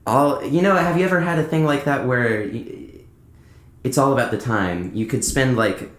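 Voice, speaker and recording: A man's voice: medium pitch, normal speed. A man talking casually as a musician guest on a podcast, rambling through anecdotes and acting out bits of conversation. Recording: roomy, echoing indoor sound.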